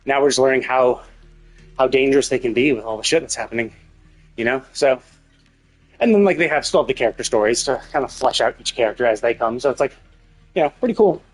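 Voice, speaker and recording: A man's voice: medium pitch, fast, rough recording. Casual man streaming over game music. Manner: rambling, thinking aloud. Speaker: livestream host.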